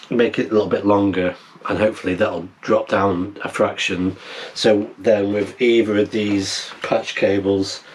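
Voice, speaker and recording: Middle-aged man, lowish voice, unhurried pace, halting casual vlog chat, thinking out loud. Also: husky. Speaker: amateur radio vlogger. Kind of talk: casual vlog chat while tinkering and unboxing. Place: small wooden cabin, indoors.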